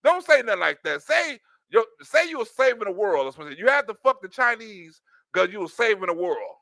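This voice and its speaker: A man riffs through comic commentary, laughing often and slipping into quick acted-out scenes. His voice sits high and swings widely, with a bright, sharp edge. The sound is close and dry. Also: very animated, vocal fry, no echo. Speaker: streamer reacting to a news clip. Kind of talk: animated comedic commentary.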